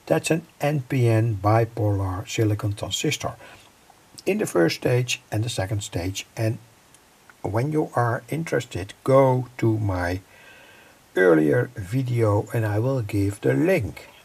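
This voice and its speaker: Man, middle-aged, very slow deliberate delivery, husky and creaky, informal technical walkthrough. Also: mid-range voice, animated, a little mellow. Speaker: electronics hobbyist presenter. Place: indoor home electronics workbench.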